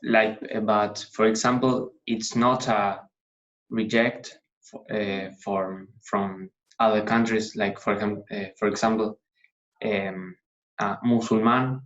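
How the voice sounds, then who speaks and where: A male interviewer asking halting questions and giving brief acknowledgements. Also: on the low side, fairly even intonation, fairly bright tone. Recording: dull, muffled audio.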